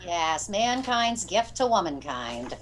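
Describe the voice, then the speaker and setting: A woman's voice: lowish voice, big pitch swings, slow pace, heavily acted. A woman playing a practical, wry housemaid in 1940s-style radio comedy, deferential with a sardonic streak.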